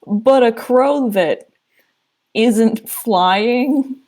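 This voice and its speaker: A woman's voice: on the high side, drawn-out words, a little mellow. A woman co-hosting a story podcast, reacting casually with sceptical questions. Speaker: podcast co-host listening to a story.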